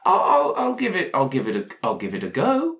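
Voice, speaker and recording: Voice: medium pitch, huge pitch swings, fairly quick, fairly bright tone. A player voicing a polite, apologetic slime demon in a tabletop game, stammering and hesitant. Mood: awkward, eager. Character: apologetic, polite male slime demon with tentacles, named Graham.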